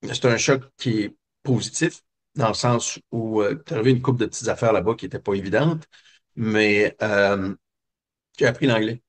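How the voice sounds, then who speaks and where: Un homme québécois, invité d'une entrevue, qui raconte son enfance d'un ton réfléchi, avec beaucoup d'hésitations. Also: male voice, fairly low pitch, normal speed. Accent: Québécois French. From Quebec. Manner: reflective, many hesitations and fillers. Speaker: guest. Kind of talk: personal storytelling interview.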